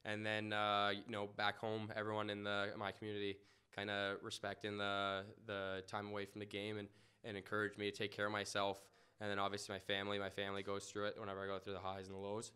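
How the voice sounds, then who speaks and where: Young guy at a podium mic after a game, flat low voice, lots of um and you know. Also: clear, resonant tone. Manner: humble, halting, many fillers and restarts. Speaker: lacrosse goalie. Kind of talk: post-game press interview. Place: indoor press room. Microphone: podium mic in front.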